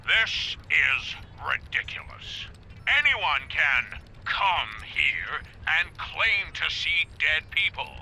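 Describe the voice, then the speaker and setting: An actor playing a pompous, indignant politician giving a loud, drawn-out speech heard over the radio in a video game. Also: male voice, fairly high pitch, sing-song, creaky, slight background noise, full character voice. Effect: radio broadcast.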